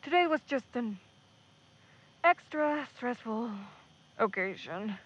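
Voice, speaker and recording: A woman's voice: on the high side, animated, takes it slowly, slightly rough, muffled recording, exaggerated voice. A woman playing an earnest, hesitant young male pre-med student in a naturalistic audio drama. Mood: sheepish, confiding.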